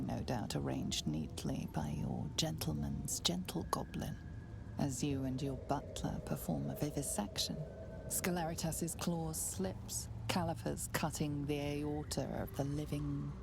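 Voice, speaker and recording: An actress playing a macabre, wryly cruel game narrator, delivering ornate lines in a measured, hushed, ominous manner. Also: female voice, low-pitched, vocal fry, heavily acted. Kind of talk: dramatic scripted game narration. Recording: music behind the voice.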